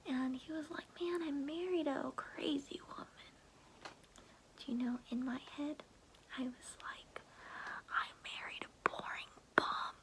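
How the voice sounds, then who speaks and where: Whispering, unhurried ASMR granny played by a woman. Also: high-pitched, a bit bright, rough recording. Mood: chatty, confiding. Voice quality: breathy whisper. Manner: soothing, rambling, folksy. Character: chatty doting old grandmother tending a sick grandchild. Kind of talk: ASMR roleplay.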